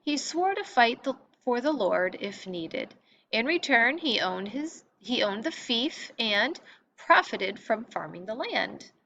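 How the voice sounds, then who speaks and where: A woman teacher reading a textbook lesson aloud in an expository way, pausing to stress key terms. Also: mid-range voice, a bit bright. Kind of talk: read-aloud lesson narration. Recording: dull lo-fi audio with clear background noise.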